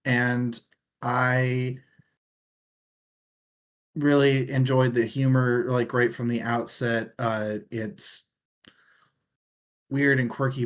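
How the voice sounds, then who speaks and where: A man co-hosting a casual book discussion in an irreverent, casually profane way. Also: mid-range voice. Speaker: podcast co-host.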